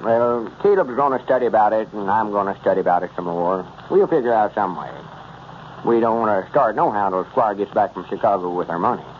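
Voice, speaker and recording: A man's voice: fairly low pitch, brisk, a little hiss or hum, close to an everyday voice. A man playing a boastful, scheming rural storekeeper with a self-important country drawl, in a 1930s radio comedy. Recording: muffled old radio transcription.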